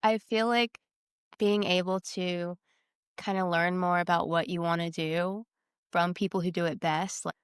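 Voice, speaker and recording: Female podcast host, crisp and fairly low, conversational interviewing, closely recorded without room echo. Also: fairly even intonation, a little slow, clean studio sound. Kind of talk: casual chat, interviewing.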